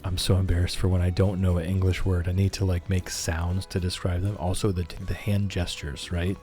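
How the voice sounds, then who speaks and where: A man hosting a calm, soothing game livestream, chatting gently and in a friendly way with his viewers. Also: sits low, fairly quick, soft background music. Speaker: game streamer, host. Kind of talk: calm, soothing livestream chat over gameplay.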